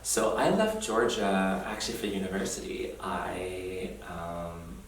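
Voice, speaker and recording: A young adult man talks through his past in a thoughtful, earnest way and often breaks into light laughter. His voice sits a little low and reaches a distant mic in a very echoey room with faint noise behind it. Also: slow pace. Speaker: oral history interviewee. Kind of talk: reflective personal oral history.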